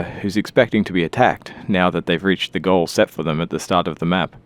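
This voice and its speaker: A man's voice: deep voice, smooth, dry recording. A man giving scripted developer commentary as a game designer, explaining design choices.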